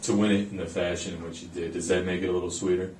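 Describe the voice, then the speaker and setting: A man puts questions as a sports reporter. His voice is low with little melody. It is picked up from a distance in a press room with clear background noise. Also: flat delivery, fast talker, a little mellow, roomy sound. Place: indoor press room, off camera.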